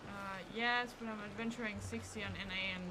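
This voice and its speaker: A woman's voice: medium pitch, fairly even intonation, brisk, background noise. A woman chatting casually on a live stream while she plays a game, musing and often trailing off mid-thought. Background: game music and sound effects.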